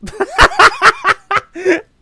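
A young man hosting a game playthrough, chatting casually and reading the game's text aloud in a steady voice. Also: very high voice, extremely slow, a little hiss or hum. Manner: reads text in a flat steady voice, breaks into laughter. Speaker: let's play host.

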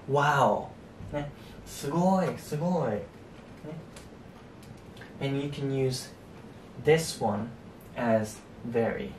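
A young man with a Japanese accent, teaching a relaxed whiteboard lesson and tagging his sentences with a soft "ne" and "you know". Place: small indoor room at a whiteboard. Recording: echoey room, distant mic, faint rumble.

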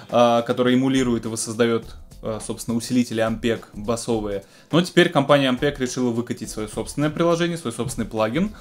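A man in his thirties presenting music technology news in a casual, semi-scripted style from a home studio. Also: mid-range voice. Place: small home studio room with keyboards.

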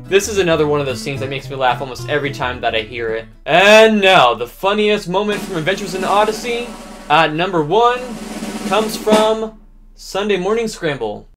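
Guy in his 20s doing a countdown video, higher voice, soft guitar music behind. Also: expressive, normal speed. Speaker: video presenter, fan reviewer. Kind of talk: scripted countdown presentation to camera. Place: small indoor room with bookshelves. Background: faint guitar music.

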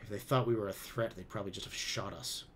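A man's voice: on the low side, fairly even intonation, fast talker, fairly bright tone, a little hiss or hum, lightly acted. A man voicing a bitter, defiant colonist mother who mutters sardonically under her breath. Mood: muttering.

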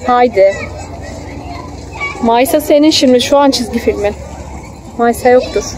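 A woman's voice: on the high side, a little slow. A young woman chatting casually with her small child, affectionate and coaxing, as she films outdoors on a phone. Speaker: mother filming her child. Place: outdoors in a theme park. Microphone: handheld phone, behind the camera. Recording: noisy outdoor phone video.

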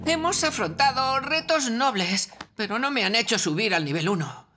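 A woman's voice: a little high, animated, moderate pace, sharp tone. A woman voicing an earnest, valiant young male elf adventurer in a children's cartoon, pompous and heroic in manner. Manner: pompous, heroic, archaic phrasing. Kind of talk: animated children's cartoon dub. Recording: music behind the voice.

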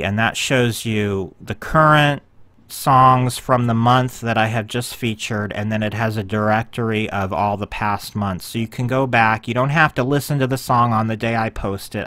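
A man's voice: mid-range voice, a bit bright, dry recording. A man casually walking viewers through his website in a screen-share, rambling a little with frequent ums and self-corrections. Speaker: website owner giving a tour.

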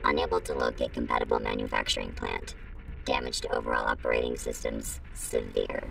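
Very deep, failing robot. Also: female voice, fairly quick, fairly bright tone, recorded from a distance, soft background music, heavily acted, poor audio. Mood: bleak, failing. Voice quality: deep, gravelly, pitched-down voice. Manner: clipped, fragmentary status reports. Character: lone failing war robot, fearful and methodical. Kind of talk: science-fiction audio story monologue.

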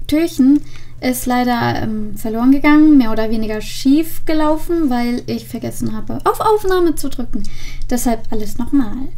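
High-voiced young woman, slow and casual. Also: warm tone. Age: young adult. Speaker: video host. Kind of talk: casual vlog with story reading. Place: indoors, small room with green screen. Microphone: desk microphone with pop filter.

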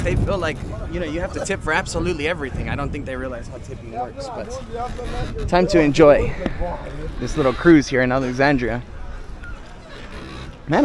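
A man in his thirties chatting to the camera as a travel vlogger, filming himself at arm's length on a small boat outdoors. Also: on the high side. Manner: chatty, talks straight to camera, opinionated asides. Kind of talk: casual travel vlog. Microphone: action camera held at arm's length. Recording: outdoor wind and boat noise. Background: wind and water noise.

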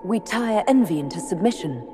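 A woman's voice: medium pitch, animated, moderate pace, over music, close to an everyday voice. An actress playing a composed, determined young female elf hero in a video game. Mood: calm, wry.

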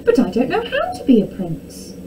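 An indignant storybook shepherd boy played by a woman, complaining in a raised, plaintive voice. His protests swing up and down in pitch. The sound is a little distant and noisy. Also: sing-song, a little slow, dark tone. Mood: shocked. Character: reluctant, indignant shepherd boy forced to be prince.

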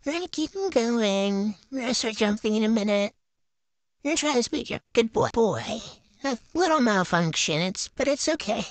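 A woman's voice: on the high side, animated, moderate pace, dry recording. A young adult woman giving casual, chatty play-by-play gameplay commentary in short phrases, like a vlogger. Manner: chatty, narrating each move in short phrases. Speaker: gaming vlogger.

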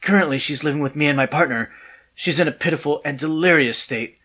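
An actor playing an anxious, polite man desperate for help in an audio drama, with a husky voice and a hesitant, earnest manner. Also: a little high, fast talker, bright, forceful tone, exaggerated voice. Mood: distraught.

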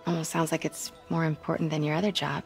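A woman's voice: deep voice, little pitch movement, quick pace, soft background music. An American woman in her twenties playing a candid, guarded young reporter in a game cutscene, wry and probing. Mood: wry, curious. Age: 20s. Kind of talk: naturalistic video game cutscene acting.

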